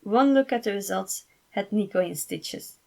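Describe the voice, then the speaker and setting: Creaky-voiced woman reading a story. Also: medium pitch, normal speed, darkish tone. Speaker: fan fiction author and narrator. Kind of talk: expressive amateur story narration.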